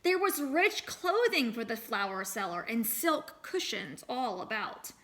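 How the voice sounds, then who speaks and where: Bright, expressive woman reading a storybook. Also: a little high, a little slow. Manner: expressive, acts out sound words. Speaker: read-aloud teacher. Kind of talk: storybook read-aloud with teaching asides.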